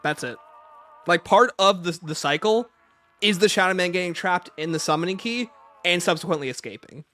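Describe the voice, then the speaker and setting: Higher-pitched man hosting a livestream Q&A. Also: normal speed, sharp tone, soft background music. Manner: reads viewer questions aloud and answers them off the cuff. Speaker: livestream host. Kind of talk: explanatory livestream Q&A commentary.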